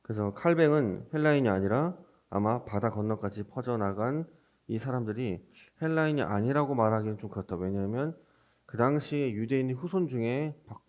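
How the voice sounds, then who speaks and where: Low-voiced man preaching a Sunday sermon. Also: lo-fi sound. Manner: explanatory, conversational, poses questions to the congregation. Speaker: pastor preaching. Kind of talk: Sunday sermon with scripture reading.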